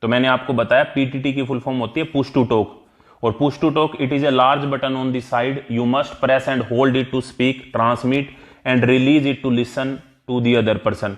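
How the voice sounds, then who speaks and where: Indian guy teaching exam prep, medium pitch, explains stuff clearly step by step and repeats key terms. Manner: didactic, repeats key terms and checks understanding. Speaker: instructor. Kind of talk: explanatory exam-prep tutorial, mixing Hindi and English.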